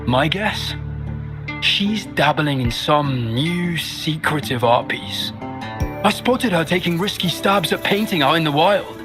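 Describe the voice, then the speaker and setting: An actor voicing a wary crook in a video game, low-key and sceptical in manner. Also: male voice, expressive, slow, over music. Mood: speculating. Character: wary male crook posing as an exile. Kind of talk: English video game voice acting.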